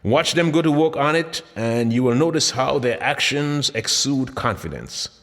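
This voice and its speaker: A man reading his own motivational audiobook in an emphatic, deliberate style with dramatic pauses. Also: medium pitch, expressive, fairly bright tone. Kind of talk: scripted motivational audiobook narration. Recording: long hall-like reverb.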